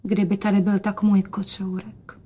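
A woman voicing a weary, wrinkled sick father in a story, speaking in a quiet, wistful and tender manner. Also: mid-range voice, little pitch movement, husky, lightly acted.

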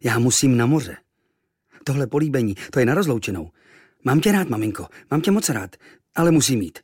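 A man voicing an excitable young boy, eager and brave, talking in quick earnest pleas. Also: medium pitch, breathy edge, no room sound, clean studio sound. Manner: eager, breathless pleading.

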